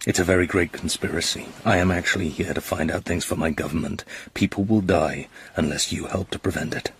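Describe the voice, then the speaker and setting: An actor playing a serious, controlled secret agent with a British accent in a radio drama. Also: male voice, low voice, fairly even intonation, brisk, fairly bright tone, slight background noise. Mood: grave, earnest.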